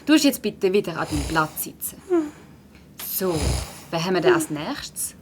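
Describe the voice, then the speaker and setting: A woman's voice: on the low side, sing-song, moderate pace, slight background noise. A woman playing a patient but firm primary school teacher in a children's audio drama, with a Swiss German accent. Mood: dryly patient.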